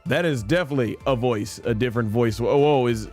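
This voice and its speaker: A man's voice: mid-range voice, fairly quick, darkish tone. A man chatting casually and enthusiastically as a live streamer, rambling through game commentary. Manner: enthusiastic, rambling fan chat. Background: music.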